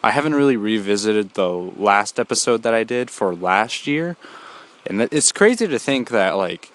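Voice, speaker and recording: A man's voice: lowish voice, sing-song, normal speed, a bit bright. A young man hosting a solo podcast, talking in a rambling casual way with many fillers and restarts, a bit congested from a cold. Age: young adult. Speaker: podcast host.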